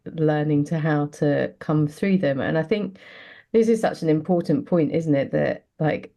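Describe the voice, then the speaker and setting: A woman's voice: low voice, warm tone. A woman hosting a podcast, talking reflectively and hesitantly with many fillers. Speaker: podcast host. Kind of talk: casual conversational interview.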